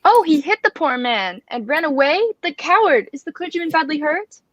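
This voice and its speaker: A woman's voice: high voice, animated, moderate pace. A woman playing a genteel, fretful lady of the house in old-style radio drama, polite and pleading. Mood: alarmed. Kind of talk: 1940s radio drama acting. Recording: muffled with clear background noise.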